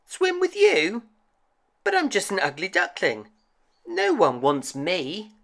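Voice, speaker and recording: Man acting young storybook duckling, high pitch, honking, plaintive, earnest, lonely, swooping intonation. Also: wildly swooping pitch, takes it slowly, vocal fry, a bit bright, full character voice. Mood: timid, unsure.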